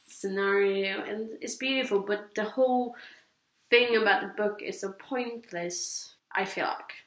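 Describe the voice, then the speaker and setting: A woman's voice: mid-range voice, fairly bright tone. Echoey young Swedish woman chatting casually. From Sweden. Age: teens to young adult. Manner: hesitant, rambling, repeats herself. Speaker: book reviewer. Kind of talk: casual vlog chat. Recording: echoey, roomy sound.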